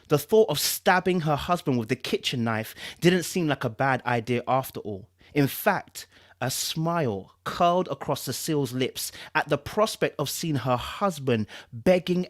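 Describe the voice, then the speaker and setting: A British man with a London accent and a mid-pitched voice. He hosts a live book reading, speaking informally to his listeners and delivering text with dramatic emphasis. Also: expressive, measured pace. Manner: informal, repetitive, checks in with listeners. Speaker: live-stream host and book reader.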